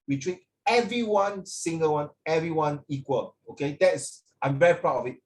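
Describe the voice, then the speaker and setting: Singaporean man, online English teacher, slightly high voice, explanatory, frequent checking tags. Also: normal speed. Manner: repeats checking tags like "right" and "okay". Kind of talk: online lesson to children, explaining over a video. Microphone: video call.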